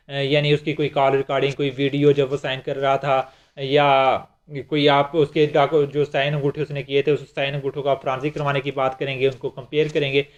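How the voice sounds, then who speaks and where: A man with a Pakistani accent giving an explanatory law lecture in a conversational way, often repeating and rephrasing his points. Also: a little high. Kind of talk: explanatory lecture in simple terms with examples. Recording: dull, lo-fi microphone sound.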